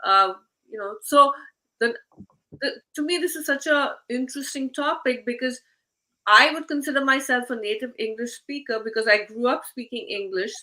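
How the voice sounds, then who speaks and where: A woman's voice: fairly high pitch, moderate pace, pushed and bright, poor audio. A woman hosting a conversational interview. She talks chattily, filling her sentences with "you know" and "uh". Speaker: host.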